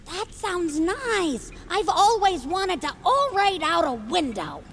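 A sweet, simple villain's sidekick for an audio comedy. The voice is high and slow, full of innocent admiration, and rings in a big reverberant space. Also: female voice, sing-song, fairly bright tone, slight background noise, full character voice. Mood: admiring. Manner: guileless, admiring. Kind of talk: audio fiction comedy acting.

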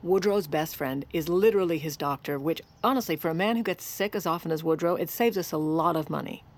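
An actress playing a shrewd, self-assured First Lady, narrating an audio comedy with deadpan, conversational asides. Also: low voice, a little fast. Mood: wry, confiding. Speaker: narrator and lead character.

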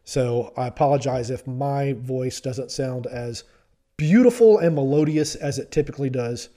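A man's voice: medium pitch, normal speed, mellow. A man hosting a sports podcast, sounding a little stuffy from allergies, talking in a wry, self-deprecating way. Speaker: podcast host and sports reporter. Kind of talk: podcast commentary.

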